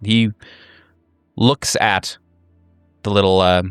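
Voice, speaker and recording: Low, smooth-voiced male game master. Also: steady tone, fairly bright tone, dry recording. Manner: halting, reflective, deliberate. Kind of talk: improvised tabletop game narration. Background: faint music.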